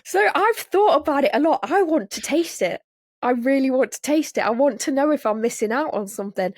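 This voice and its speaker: A woman chatting on a podcast in a playful, giggly way, tossing out questions to the others. Her voice is a little higher than most. The sound is close and dry, with no room echo. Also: expressive, fairly quick. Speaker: podcast co-host. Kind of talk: casual chat.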